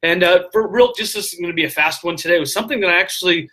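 Animated high-voiced man on a live stream. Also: rapid-fire. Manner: animated, gestures a lot, filler-heavy with frequent "all right?". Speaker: vlogger giving business tips. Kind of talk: casual motivational live stream. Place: hotel room indoors. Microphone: webcam at desk distance.

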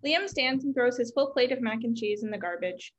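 A woman's voice: on the high side, lively intonation, brisk. A young woman reading stage directions as scripted narration over a video call. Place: room at home, indoors. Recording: dull lo-fi video call audio.

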